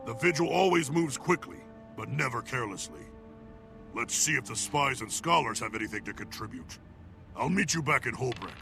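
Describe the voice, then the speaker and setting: An actor playing a stern, proud military officer in a fantasy video game, with a gravelly voice and a forceful, commanding manner. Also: male voice, mid-range voice, animated, moderate pace. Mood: grim, resolute. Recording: game music behind the voice.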